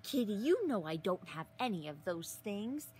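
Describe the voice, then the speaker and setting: A woman in her thirties reading a picture book aloud to young children outdoors, expressively, with exaggerated gasps and drawn-out words. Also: mid-range voice, very animated, darkish tone. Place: outdoors in a leafy garden. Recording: outdoor recording with some ambient noise.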